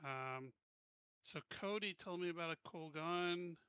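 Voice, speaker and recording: A man's voice: a little high, unhurried, clear, resonant tone, no echo, highs cut off. A man chatting casually as a player in a tabletop game.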